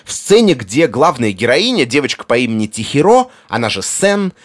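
A man giving an explanatory talk on film music, articulate, with deliberate pauses and emphatic phrasing. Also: mid-range voice. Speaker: music lecturer, presenter. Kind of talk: explanatory video essay narration on film music.

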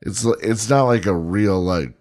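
A man's voice: lowish voice, a little slow, darkish tone. A young man co-hosting a podcast, chatting crudely with blunt, sarcastic jokes. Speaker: podcast co-host. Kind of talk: crude casual banter.

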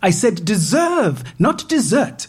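A narrator voicing a distraught, defiant pregnant young woman whose lines jump to sharp, high outbursts. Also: very animated, measured pace, husky, exaggerated voice. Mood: snapping.

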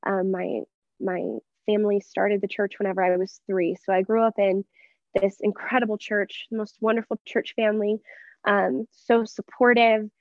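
A young American woman from Texas speaking as an interview guest, earnest and expressive as she reflects at length in personal conversation. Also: mid-range voice, moderate pace, dry recording, muffled recording. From Texas, United States. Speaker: interview guest, church member.

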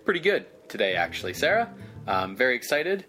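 A man's voice: medium pitch, very animated, brisk, pushed and bright, faint music behind. A man co-hosting a casual podcast, talking in an easygoing way with frequent hesitant fillers. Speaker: podcast co-host.

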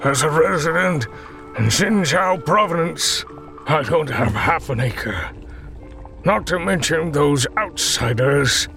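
A man voicing a blind, frail old man who tells stories in a slow, weary, complaining drawl. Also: on the high side, expressive, creaky, bright, forceful tone, soft background music, voice pushed up, exaggerated voice.

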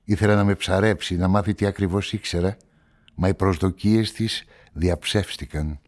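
An actor playing a guarded amateur sleuth, telling a crime story in measured, reflective radio-play narration and dialogue. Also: male voice, low-pitched, steady tone, a little mellow, natural voice.

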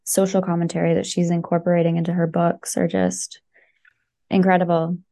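A woman's voice: on the low side, flat delivery, a little fast, mellow. A woman chatting casually and enthusiastically on a podcast, with many fillers and restarts. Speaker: podcast guest recommending books. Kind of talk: casual chat.

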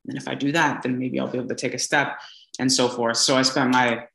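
A young man in his twenties, speaking as an interview guest in a relaxed, earnest way, with many fillers and restarts. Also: medium pitch, fast, vocal fry. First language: English. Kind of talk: casual storytelling interview. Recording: echoey room.

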